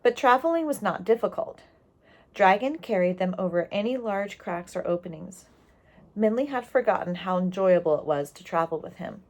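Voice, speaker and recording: A woman reading a storybook aloud, expressive and explanatory, pausing on key words and adding asides for young listeners. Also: mid-range voice.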